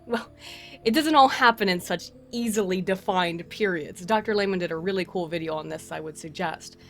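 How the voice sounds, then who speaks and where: A woman's voice: fairly low pitch, moderate pace. A woman narrating a scripted video essay in formal, wordy academic phrasing with wry asides. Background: music.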